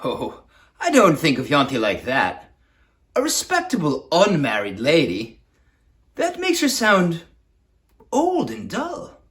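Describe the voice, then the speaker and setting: A woman's voice: low voice, huge pitch swings, slow pace, pauses often, exaggerated voice. A woman playing an earnest, dreamy friend protesting in a dramatized novel.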